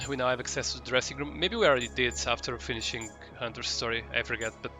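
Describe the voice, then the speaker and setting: A man's voice: medium pitch, fairly even intonation, fast talker, sharp tone, faint music behind. A man doing casual live commentary as a game streamer, rambling and hesitant as he searches for his words.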